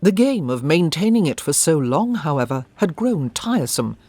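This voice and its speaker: A woman narrates a historical audio drama in a literary, scripted style. Her voice is low for a woman, and music plays underneath. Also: big pitch swings, moderate pace, dark tone, breathy edge.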